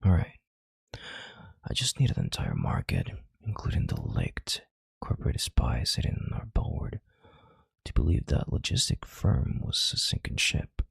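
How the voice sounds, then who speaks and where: A man playing a calm, controlling, arrogant chief executive in an intimate audio roleplay, with slow, deliberate, condescending authority and a hushed delivery. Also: no room sound, clean studio sound, heavily acted. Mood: smug, calculating. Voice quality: deep, gravelly, breathy half-whisper. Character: calm, controlling, arrogant CEO soothing his analyst.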